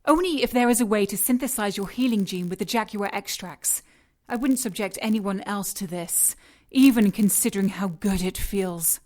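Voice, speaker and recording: A woman's voice: medium pitch, moderate pace, darkish tone, natural voice. An actress playing a cold, obsessed female scientist and shapeshifter villain in an audio drama, composed, clinical and condescending. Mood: self-justifying.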